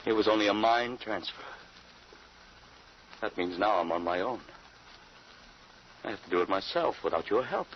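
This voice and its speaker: A man's voice: lowish voice, animated, moderate pace, long pauses. A man playing an anxious, flustered middle-aged American father in old radio drama style. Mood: resolved, anxious. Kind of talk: 1950s radio drama acting. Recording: muffled vintage radio recording, faint hiss.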